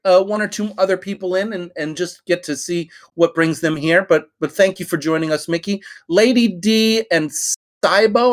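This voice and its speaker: Middle-aged New York man, English teacher hosting a voice-chat class; friendly, meandering, lots of ums and restarts. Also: sits high. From United States. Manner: friendly, rambling with many fillers and restarts. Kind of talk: hosting an online conversation class. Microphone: online voice chat.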